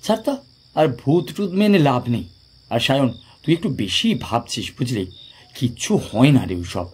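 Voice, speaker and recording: A man's voice: medium pitch, sing-song, moderate pace, a little mellow, slightly rough. An actor playing a blunt, practical young man among friends in an audio ghost story. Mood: brisk, dismissive. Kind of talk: audio drama dialogue in a Bengali ghost story.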